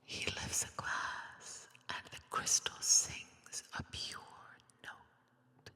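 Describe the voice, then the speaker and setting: Female ASMR narrator: whispered, unhurried, crisp bright edge, close binaural mic in a reverberant wash. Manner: slow, hushed, deliberate delivery with careful pauses. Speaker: ASMR storyteller. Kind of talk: whispered, soft-spoken ASMR storytelling. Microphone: close binaural microphone. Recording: long reverb over music bed. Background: music.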